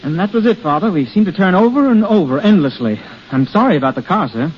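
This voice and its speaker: An actor playing a devoted, excitable young fiance in a 1930s radio drama, with theatrical phrasing. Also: male voice, sits high, fast, soft background music. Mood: earnest, rattled. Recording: muffled, hissy vintage 1930s radio broadcast.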